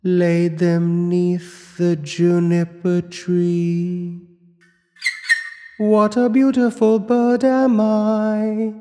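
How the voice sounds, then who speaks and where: A man voicing an eerie singing bird, the spirit of a murdered boy, in a slow chanting singsong. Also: sits high, little pitch movement, mellow, long reverb tail, faint music behind, voice pushed up.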